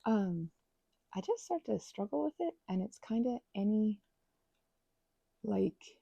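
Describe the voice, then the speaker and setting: A woman murmurs through a meandering ASMR ramble at home, her voice deeply gravelly with fry and noticeably husky. Her tone sits very mellow and dark, and she slips into whispers between stretches of soft talk. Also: big pitch swings, slow pace, no room sound, highs cut off. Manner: meandering, filler-heavy, drifting into whispers. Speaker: ASMR creator. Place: indoors at home.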